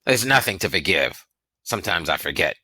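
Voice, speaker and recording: A reader putting on a gruff, huge bearded man. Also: sits low, sing-song, moderate pace, pushed and bright, no echo, heavily acted. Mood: low muttering. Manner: blunt, scolding. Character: huge gruff bearded man, scolding but kindly protector. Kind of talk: audiobook character voice.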